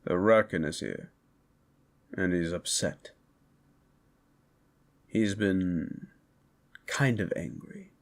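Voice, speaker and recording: A man's voice: low voice, extremely slow, long pauses, exaggerated voice. A man playing an easygoing werewolf companion in a fantasy audio drama, with a casual, conversational delivery. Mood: matter-of-fact.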